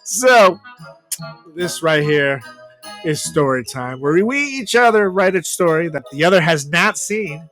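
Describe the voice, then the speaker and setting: A man's voice: fairly high pitch, animated, measured pace, a bit bright, soft background music, poor audio. A man hosting a comedy podcast in casual banter, swearing freely and rambling through his stories in a joking way. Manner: profane, joking, rambling storyteller. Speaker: podcast host.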